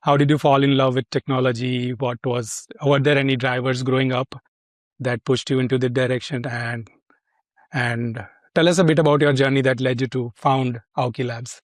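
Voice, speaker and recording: A man hosting an interview, putting questions in a measured, slightly hesitant way. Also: medium pitch, level tone, dry recording. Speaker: interviewer, host.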